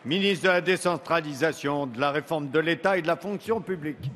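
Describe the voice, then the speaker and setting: A man's voice: a little high, fairly quick, fairly bright tone. A man chairing a parliamentary session, making formal, brief procedural announcements. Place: large indoor assembly chamber. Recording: reverberant chamber with background noise.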